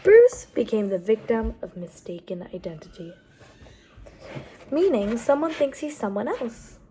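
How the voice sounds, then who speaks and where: Woman storytime reader for young children: high, very lively delivery, explanatory asides, slight background noise. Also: measured pace. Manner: animated, explains pictures and words as she goes. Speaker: storytime host reading picture books aloud. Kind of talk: expressive read-aloud for young children, with asides to the listeners.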